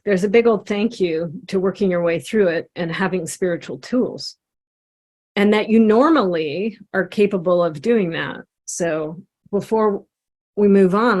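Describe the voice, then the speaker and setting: A woman facilitating a guided self-inquiry session over a video call, speaking in a gentle, encouraging way. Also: medium pitch. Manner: gentle, encouraging, drawing while talking. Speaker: satsang facilitator and coach.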